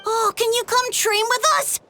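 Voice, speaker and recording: A woman's voice: very high-pitched, little pitch movement, moderate pace, bright, forceful tone, soft background music. A woman voicing an eager, admiring young child warrior-in-training in a video game, with a childlike, wide-eyed delivery.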